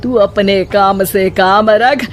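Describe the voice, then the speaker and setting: A woman's voice: mid-range voice, steady tone, takes it slowly, slight background noise, natural voice. A woman playing a boastful elderly mother-in-law who declaims in rhyme for a cartoon moral story. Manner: pompous, declamatory rhyming. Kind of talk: animated moral story dialogue in verse. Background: background music.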